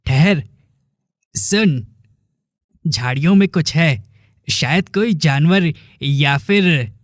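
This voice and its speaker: Casting: male actor for bold teasing young villager, cartoon drama, lightly raised pitch, close dry sound. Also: drawn-out words, dry recording. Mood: alert, bold. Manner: familiar, teasing, emphatic.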